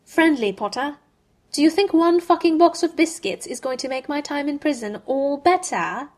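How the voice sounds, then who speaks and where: A woman's voice: on the high side, moderate pace, lightly acted. A woman reading aloud as a proud young male wizard, with a scornful, clipped and cutting manner.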